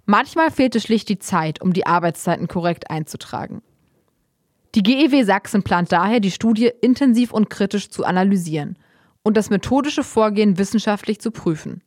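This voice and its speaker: A woman's voice: medium pitch, moderate pace, no echo. Woman reading podcast news, dry close sound. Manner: even, read-aloud delivery. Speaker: podcast host. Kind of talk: scripted news reading, then interview.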